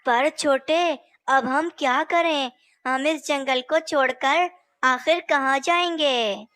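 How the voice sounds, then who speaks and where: A woman's voice: high-pitched, slow, a bit bright, dry recording. A woman voicing a scheming male owl brother in a cartoon story who later says sorry. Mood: worried. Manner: sly, then apologetic. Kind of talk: Hindi cartoon voice acting.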